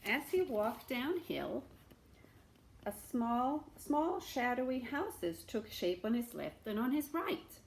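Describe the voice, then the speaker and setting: Slow, expressive woman storyteller. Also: on the high side, a little hiss or hum, poor audio. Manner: dramatic, with pauses and asides to show pictures. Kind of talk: expressive children's story reading.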